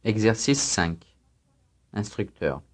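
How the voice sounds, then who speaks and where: A man reading scripted student answers and exercise announcements in a language drill, careful and even. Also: on the low side, slow pace.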